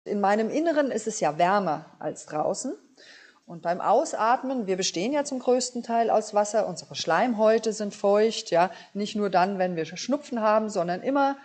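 A woman's voice: medium pitch, animated, moderate pace, a little mellow. A woman speaking as an expert, explaining science step by step in everyday words for children. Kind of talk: explanatory interview answers for children.

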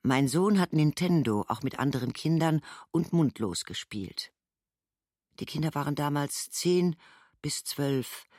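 A woman's voice: sits low, lively intonation, measured pace, a little mellow, no room sound, clean studio sound. An actress playing a matter-of-fact mother giving court testimony in a documentary radio play, in a sober, clipped recital. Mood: matter-of-fact recollection. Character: woman trial witness, a mother recalling holiday friends, matter-of-fact.

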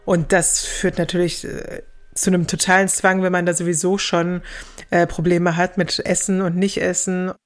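A woman's voice: fairly low pitch, level tone, moderate pace, soft background music. A young woman casually recounting her own experience as an interviewee, colloquial, with bits of English slang. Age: young adult. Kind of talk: casual spoken recollection.

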